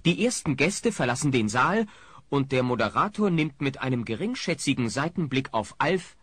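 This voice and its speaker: A man's voice: medium pitch, very animated, normal speed, fairly bright tone, slightly rough, no room sound. A man narrating an audio drama from a script.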